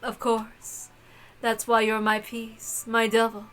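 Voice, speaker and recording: A woman's voice: a little high, completely flat delivery, slow, a bit bright, smooth. A woman voicing a haughty, cruel witch mistress who gives imperious, scornful orders in a visual novel read-aloud. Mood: smug.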